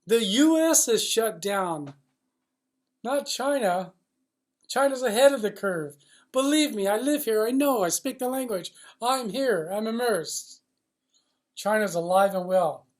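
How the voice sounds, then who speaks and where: High-pitched, blunt man in an opinionated monologue. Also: expressive, unhurried. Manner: blunt, emphatic, profane, riled up. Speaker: loudspeaker supply industry commentator based in China.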